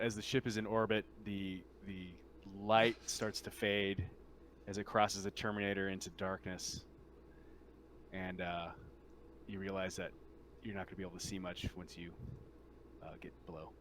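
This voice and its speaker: A man narrating a tabletop roleplaying game as game master, in an informal, halting way. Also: fairly low pitch, a bit bright, slight background noise.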